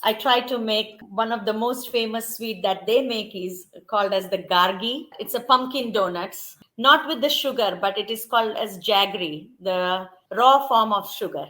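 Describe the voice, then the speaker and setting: A middle-aged Indian woman speaks in an easy, conversational way. She explains things patiently and slows down to spell out words now and then. Her voice sits at an ordinary middle pitch for a woman. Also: fairly bright tone. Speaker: interviewee. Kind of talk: conversational storytelling.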